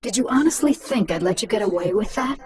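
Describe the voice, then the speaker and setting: A woman's voice: medium pitch, expressive, fast talker, a little mellow, recorded from a distance, lightly acted. A woman playing a cold, scheming alien queen who commands without mercy in a video game. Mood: mocking. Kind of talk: dramatic video game voice acting.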